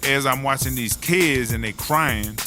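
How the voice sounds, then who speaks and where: A male actor plays a self-righteous, streetwise American lifer in a comedy audio drama. The voice sits at a middle pitch and rambles through tall tales in slang, sounding mock-aggrieved. Music plays clearly underneath. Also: unhurried, close to an everyday voice. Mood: matter-of-fact storytelling.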